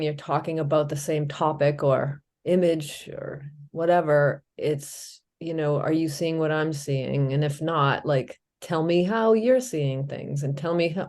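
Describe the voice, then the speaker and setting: A woman's voice: low voice, moderate pace, hardly any pauses, darkish tone. A middle-aged woman co-hosting a casual podcast, talking thoughtfully and listing ideas with many fillers. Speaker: podcast co-host.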